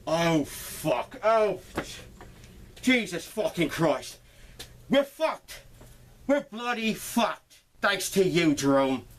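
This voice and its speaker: A man voicing a brash, foul-mouthed future version of himself, with a put-on foreign accent and lots of swearing. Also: high-pitched. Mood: furious.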